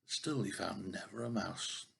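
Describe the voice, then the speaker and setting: An older man reads a children's tale aloud in a rough, husky voice with plenty of creak. He is expressive in the manner of a grandfather at storytime, and now and then trips over a word. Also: sits low, measured pace. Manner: expressive with occasional stumbles over words.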